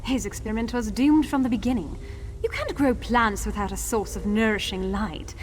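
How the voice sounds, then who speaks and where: Woman as a curt, haughty apprentice, highish voice. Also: animated, normal speed, slight background noise, lightly acted. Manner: curt, precise, superior. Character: brisk, haughty female apprentice scholar in a fantasy world. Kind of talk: video game quest dialogue.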